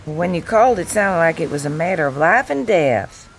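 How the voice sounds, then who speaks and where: An actress playing a caring, plain-spoken young wife in a radio drama. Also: female voice, sits low, expressive, normal speed, a little hiss or hum, poor audio. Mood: concerned.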